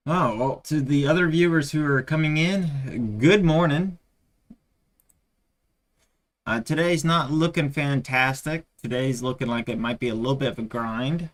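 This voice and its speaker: A man's voice: medium pitch, measured pace. A tired man losing his voice, rambling with many fillers as he chats casually on a live trading stream.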